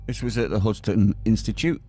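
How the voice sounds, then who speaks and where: Male channel host for scripted intros, dark warm tone, somewhat low, faint music bed. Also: steady tone, brisk.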